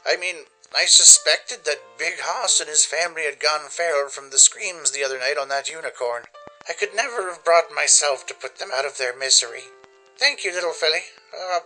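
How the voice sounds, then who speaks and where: A man voicing a cheery, scatterbrained elderly ghoul unicorn mare with a raspy, halting voice in a radio play. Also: fairly high pitch, sharp tone, faint music behind, voice pushed up, low-quality recording. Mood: grateful.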